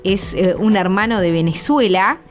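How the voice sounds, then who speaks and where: A woman's voice: mid-range voice, expressive, normal speed, soft background music. Chatty woman radio host. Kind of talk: casual radio interview.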